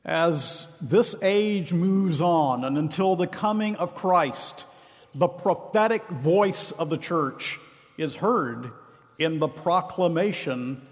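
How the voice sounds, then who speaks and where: A minister preaching a sermon, earnest and emphatic, stretching key phrases slowly. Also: male voice, on the high side. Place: church sanctuary. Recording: long reverb of a large hall.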